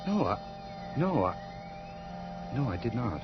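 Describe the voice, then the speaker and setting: A man's voice: medium pitch, sing-song, takes it slowly, noisy background. An actor in a gothic horror radio drama playing a nervous, guilt-ridden madman who stammers and repeats himself obsessively. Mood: anxious, shaken. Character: nervous, guilt-ridden madman narrating his own unravelling. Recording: muffled old radio drama, music underneath.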